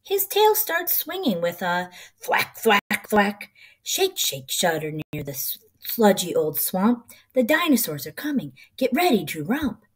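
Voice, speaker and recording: A middle-aged woman reading a children's picture book aloud in an expressive, rhythmic, sing-song way. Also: mid-range voice, a little slow, breathy edge.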